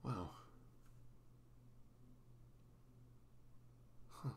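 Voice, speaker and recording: A man playing a sweet, goofy, nervous young boyfriend in an intimate ASMR roleplay, halting, flustered and stammering as he talks. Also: slight background noise, close to an everyday voice. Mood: awed. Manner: halting, flustered, stammering, apologetic, often giggly.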